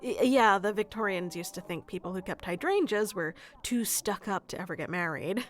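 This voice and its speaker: A woman playing a sweet, flustered florist secretly in love with a best friend, in an intimate audio roleplay with a hesitant, soft-hearted manner. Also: medium pitch, lively intonation, moderate pace, natural voice. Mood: tender. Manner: hesitant, soft-hearted, breaking into nervous chuckles and sighs. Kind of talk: intimate ASMR roleplay acting.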